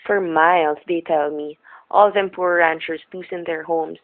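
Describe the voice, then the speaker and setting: A woman's voice: on the low side, fairly even intonation, moderate pace, natural voice. An actress playing a chatty, folksy old woman who runs a roadside diner in a radio drama, with homespun, rustic speech. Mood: gossipy, concerned. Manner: gabby, homespun, rustic grammar. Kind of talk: old-time radio character acting. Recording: narrow, tinny vintage radio recording.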